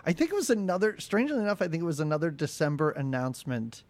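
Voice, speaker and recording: Man, podcast guest, nasal, fairly high voice, chatty, self-deprecating, dry close sound. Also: big pitch swings, fast, darkish tone, no room sound. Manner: self-deprecating, chatty, laughs at his own jokes. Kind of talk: casual podcast chat.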